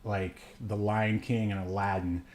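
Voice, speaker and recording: A man's voice: lowish voice, flat delivery, measured pace, darkish tone. A man co-hosting a podcast, chatting casually about a movie in a joking, rambling way. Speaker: podcast co-host. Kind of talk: casual movie review chat.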